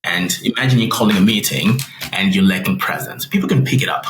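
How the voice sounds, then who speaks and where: Animated man in a roomy space. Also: fairly low pitch, fast, soft background music. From Zimbabwe. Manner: animated, acts out quoted voices. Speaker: guest business analyst. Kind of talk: interview storytelling. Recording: roomy with faint noise.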